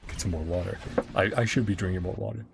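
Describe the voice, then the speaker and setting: A woman's voice: a bass voice, steady tone, quick pace, vocal fry. A woman doing casual let's-play commentary, playfully reacting in short murmurs and reading game text aloud. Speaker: gaming streamer. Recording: game music under the voice. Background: video game music.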